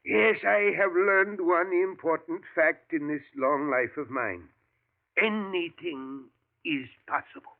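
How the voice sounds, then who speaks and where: An actor playing an elderly male professor, a thoughtful scientist who talks in a measured way and chuckles often, in a 1940s radio drama. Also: a little high. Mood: musing. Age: older. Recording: tinny lo-fi old radio broadcast.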